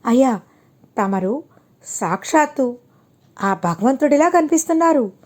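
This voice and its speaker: A poor, humble village priest from a folk tale , as a woman storyteller puts him on. He addresses others with pleading deference, in a high, mellow voice. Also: animated, a little slow. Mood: grateful.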